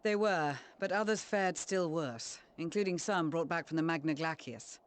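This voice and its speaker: An actor playing a calm leader in a game who reassures others while tending the sick. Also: male voice, on the high side, moderate pace, clear, resonant tone, lightly acted. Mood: reassuring.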